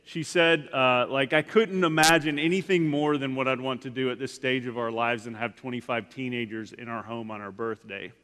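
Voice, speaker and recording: A man's voice: mid-range voice, steady tone, moderate pace, hardly any pauses, fairly bright tone. Give me a man talking casually from a church stage, with a long hall reverb. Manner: rambling, frequent "like" fillers and restarts. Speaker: guest speaker at church. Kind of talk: casual sermon talk. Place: church sanctuary.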